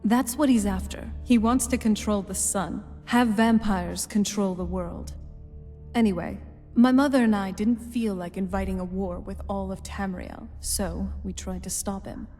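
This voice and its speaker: A mellow female vampire from a fantasy video game, speaking in a soft-edged, dryly sardonic way. She sounds guarded yet self-assured, in a reverberant hall with faint music behind her. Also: medium pitch, fairly even intonation, measured pace. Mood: wry, confiding. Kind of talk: video game dialogue acting.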